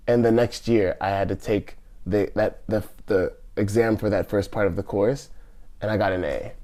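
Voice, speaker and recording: A man's voice: fairly low pitch, darkish tone. A man in his thirties from California, a podcast guest talking casually and hesitantly, with many restarts and trailing phrases. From California, USA. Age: 30s. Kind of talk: casual interview conversation.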